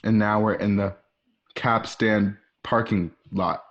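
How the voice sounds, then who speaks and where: A man's voice: on the low side, fairly even intonation, takes it slowly. A man narrating scripted scene directions for an online radio drama, now and then stumbling and correcting himself as he reads. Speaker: narrator reading screen directions. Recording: dull lo-fi home recording.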